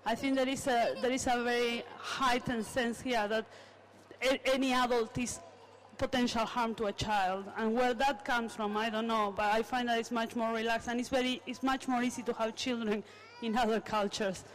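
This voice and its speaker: A woman's voice: a little high, fairly even intonation, normal speed, low-quality recording. A woman from South America giving opinionated, conversational remarks in an informal group discussion. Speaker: discussion participant, parent.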